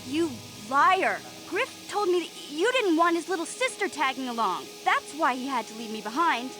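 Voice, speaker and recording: A woman's voice: high voice, lively intonation, moderate pace, over music. An actress voicing a feisty, stubborn teenage alien girl in a video game, brash and emotional. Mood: angry, defiant. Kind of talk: video game character dialogue.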